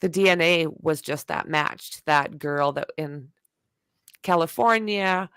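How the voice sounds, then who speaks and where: Unhurried laughing woman co-host. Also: low-pitched, fairly bright tone, clear, resonant tone, no echo, clean studio sound. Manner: unhurried, agreeable, laughs often. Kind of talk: casual chat.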